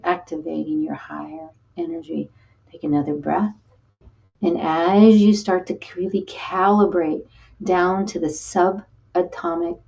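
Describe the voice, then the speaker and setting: A woman leading a guided healing meditation, talking slowly and soothingly with repeated cues to breathe. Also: deep voice, vocal fry, dark tone, recorded from a distance. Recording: dull lo-fi sound, voice set back from the mic.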